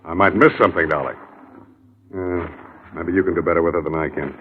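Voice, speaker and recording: A man playing a gruff, needling, sarcastic police lieutenant in a 1950s radio drama. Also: sits low, fairly even intonation, fairly quick, close to an everyday voice. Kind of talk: 1950s radio detective acting. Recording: dull, noisy old radio recording.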